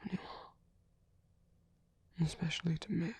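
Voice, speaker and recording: A performer voicing a tender reformed villain as a protective lover in an intimate, gentle, breathy ASMR roleplay, speaking slowly in a hushed whisper. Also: mid-range voice, no echo. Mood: reassuring, softly coaxing. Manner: slow, gentle, hushed, reassuring.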